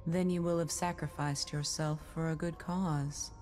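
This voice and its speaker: Dark-voiced, solemn knowing woman. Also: low-pitched, steady tone, unhurried, a little hiss or hum. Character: solemn, serene woman counselling sacrifice. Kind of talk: video game cutscene acting.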